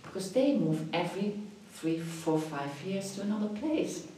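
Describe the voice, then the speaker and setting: A woman's voice: medium pitch, lively intonation, normal speed, warm tone, heavy reverb, distant mic, a little hiss or hum, poor audio. A Dutch woman in her fifties, chatty, answering interview questions and often restarting her phrases. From Netherlands. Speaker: after-school activities coordinator.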